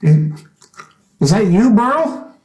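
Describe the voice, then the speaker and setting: A man playing a kindly, flustered father who speaks haltingly and reassuringly, in old-time radio drama style. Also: sits high, sing-song, a loud crowd, full character voice. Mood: shaken, flustered. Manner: halting, stammering. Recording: echoey room with faint noise.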